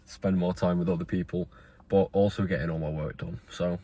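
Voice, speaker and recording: Earnest young man, very deep voice. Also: fairly even intonation, brisk, warm tone. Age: 20s. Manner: rambling, earnest, with fillers and restarts. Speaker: vlogger. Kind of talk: motivational talking-head monologue.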